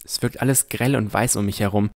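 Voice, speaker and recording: Low-voiced man narrating fantasy. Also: steady tone, fairly quick, gravelly. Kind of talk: scripted first-person fantasy narration.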